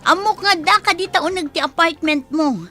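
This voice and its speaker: A woman's voice: extremely high pitch, normal speed, bright, forceful tone, faint music behind. An actress playing a distressed young woman who pleads tearfully in a radio drama, with a Filipino accent. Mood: pleading. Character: distressed young woman pleading over her gambling-addicted fiancé. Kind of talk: Philippine radio drama acting.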